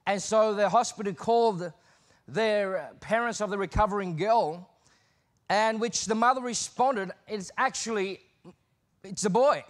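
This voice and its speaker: A man's voice: high-pitched, unhurried. A man in his thirties with an Australian accent, a guest preacher giving a sermon from a church pulpit. He moves from easy, conversational talk into emphatic preaching. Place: church stage behind a pulpit. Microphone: pulpit microphone.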